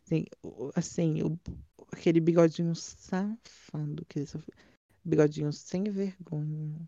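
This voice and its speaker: A woman's voice: sits low, fairly even intonation, a little slow, dark tone, no echo, muffled recording. A Brazilian woman co-hosting a casual chat, gushing and trailing off with fillers. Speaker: podcast co-host. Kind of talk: casual chat reviewing a drag show.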